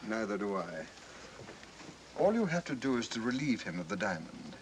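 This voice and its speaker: An actor playing a cold, calculating criminal mastermind in a 1940s film thriller, controlled and smoothly insistent. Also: male voice, fairly low pitch, sing-song, normal speed. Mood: calculating, persuasive. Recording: noisy, aged film soundtrack.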